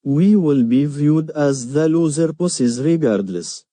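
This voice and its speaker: Guy acting a beaten war-weary leader in an audio drama, slow and somber, super dark muffled-soft tone. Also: a little high, expressive, no echo. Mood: despairing. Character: despairing, war-weary male national leader.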